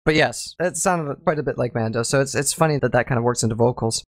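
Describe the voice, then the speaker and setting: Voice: medium pitch, fast talker, no echo. A podcast host who rambles loosely, laughs a lot and keeps the conversation going. The voice is very dry and close, with no room sound at all. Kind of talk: casual podcast chat.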